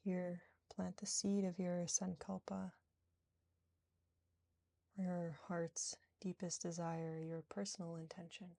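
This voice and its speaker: A woman guiding a yoga nidra relaxation in a soothing, gentle, unhurried manner with long pauses. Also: fairly low pitch, flat delivery, vocal fry, no room sound. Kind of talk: guided relaxation meditation.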